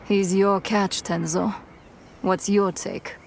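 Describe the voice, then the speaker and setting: An actress playing a tough, scornful raider chief in a game cutscene, curt, mocking and authoritative. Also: female voice, mid-range voice, little pitch movement, slow, vocal fry, slight background noise. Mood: mocking, commanding. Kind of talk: video game cutscene acting.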